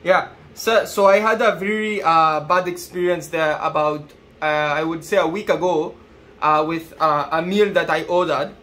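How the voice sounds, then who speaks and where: A man in his twenties making a prank phone call with feigned seriousness, halting and addressing the listener as sir. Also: fairly high pitch, fairly bright tone. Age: 20s. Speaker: prank caller. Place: small plain room indoors.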